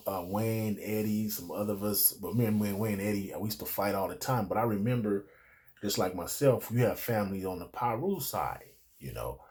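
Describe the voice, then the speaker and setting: A man's voice: fairly low pitch, darkish tone. A middle-aged American man telling personal stories casually, in a rambling, digressive way with street slang. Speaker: storyteller recalling his youth.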